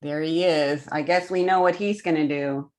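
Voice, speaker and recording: A woman's voice: low-pitched, fairly even intonation, normal speed. A woman reading stories aloud to young children on a video call, in simple, encouraging phrases. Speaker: children's storytime reader. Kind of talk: animated read-aloud storytelling to children.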